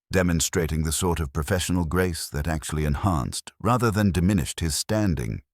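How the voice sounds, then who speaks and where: A man reading scripted story narration in a measured, formal way, like an audiobook. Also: sits low, dry recording, clean studio sound.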